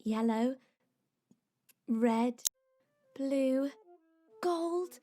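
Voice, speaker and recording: A woman's voice: sits high, drawn-out words, mellow, faint music behind. An actress playing a curious, imaginative young girl who can turn anxious, asking eager questions in an audio drama. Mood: wondering.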